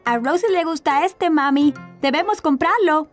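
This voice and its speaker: A woman voicing a cheerful, eager little boy of about four in a children's cartoon, childlike and excitable. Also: sits high, moderate pace, soft background music, close to an everyday voice. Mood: excited, eager.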